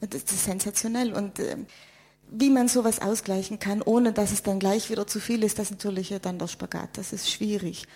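Gravelly woman. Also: medium pitch, fairly quick. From South Tyrol, Italy. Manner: lively, repeats words when searching for them. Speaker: panel guest. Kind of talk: casual discussion.

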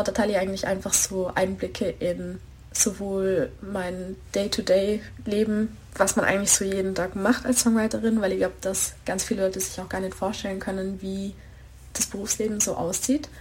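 A woman singer-songwriter, introducing herself in a relaxed, chatty way with plenty of filler words. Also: medium pitch, slight background noise. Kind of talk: casual self-introduction.

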